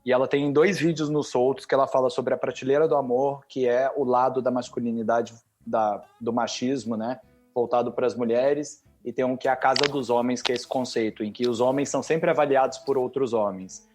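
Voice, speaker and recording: A man's voice: mid-range voice, steady tone, a little fast, a little mellow, soft background music. A man with a Brazilian Portuguese accent, a podcast guest talking earnestly and eagerly recommending things to watch. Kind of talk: casual podcast chat.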